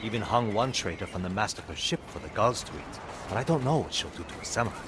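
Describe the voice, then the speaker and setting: An actor playing a pragmatic, blunt but loyal island raider in a video game cutscene. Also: male voice, fairly low pitch, lively intonation, fast talker, background noise, lightly acted. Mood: wary.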